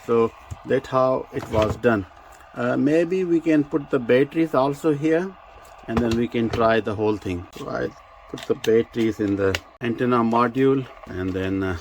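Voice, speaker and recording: A man who speaks English as a second language gives an unscripted product demonstration, explaining technical details in a halting way with repeated words. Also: mid-range voice, a little hiss or hum, rough recording. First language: not English. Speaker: presenter of a vintage radio review.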